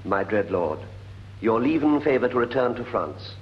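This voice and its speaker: A man's voice: mid-range voice, very animated, normal speed, close to an everyday voice. A British actor playing a courteous young nobleman petitioning a king in a classical Shakespearean radio drama. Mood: respectful, earnest. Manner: formal, courteous. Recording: muffled old radio recording with hum.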